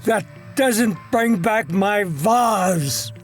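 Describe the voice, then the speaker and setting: Animated, excitable husband. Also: male voice, high voice, drawn-out words, soft background music, heavily acted. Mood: upset. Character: excitable, pushy, easily frightened husband of a writer. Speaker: voice actor in an audio drama. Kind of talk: audio drama acting.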